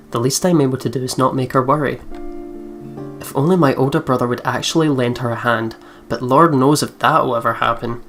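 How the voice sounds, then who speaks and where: A young woman reading game text aloud with casual let's play commentary. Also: very deep voice. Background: soft game music.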